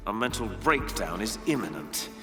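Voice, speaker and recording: An actor playing a smug, mocking spirit villain who possesses a man's mind, speaking deliberately in a video game drama. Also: on the low side, big pitch swings, sharp tone. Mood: smug menace. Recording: heavy echo, long reverb, music behind.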